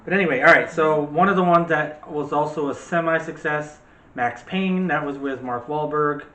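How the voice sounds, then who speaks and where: A man's voice: on the high side, normal speed, bright, forceful tone, distant mic. A man hosting a casual movie chat, talking directly to viewers in a chatty way. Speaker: video host. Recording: roomy, noisy, off-mic home recording.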